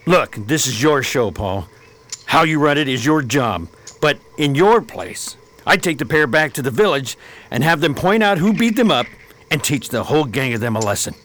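An actor playing a blunt, hot-tempered military major on a space expedition in a radio drama. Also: male voice, on the high side, big pitch swings, moderate pace, a bit bright. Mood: indignant. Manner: blunt, commanding.

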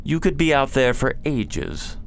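A man's voice: mid-range voice, very animated, a little slow, slight background noise. An actor playing a nobleman's loyal friend, earnestly explaining bad news in a science fiction audio drama. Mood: surprised, worried.

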